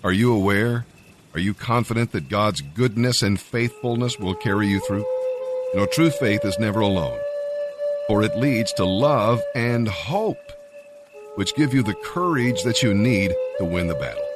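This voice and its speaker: A man reading scripture and devotional commentary from a script in a measured, earnest manner. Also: lowish voice, animated. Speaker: Bible reader and devotional narrator. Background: music.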